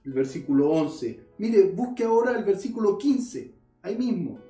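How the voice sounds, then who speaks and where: A man's voice: sits high, very animated, moderate pace, mellow, distant mic, soft background music. A male pastor preaching a sermon, earnestly addressing his listeners and reading scripture aloud. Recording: roomy echo.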